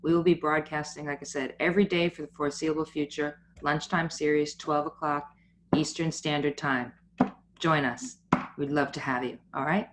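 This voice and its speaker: A middle-aged woman with a low-pitched voice hosts an informal livestream talk. She speaks in a rambling way, often breaking off and circling back to another point. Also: a little hiss or hum. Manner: rambling, digressing, frequently jumping between points. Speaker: founder and host of a business network talk.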